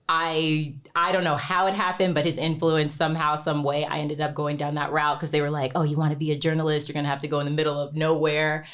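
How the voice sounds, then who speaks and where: Low-voiced chatty woman attorney. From Texas. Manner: chatty, laughs easily, quick bursts. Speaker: attorney guest. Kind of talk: casual podcast interview.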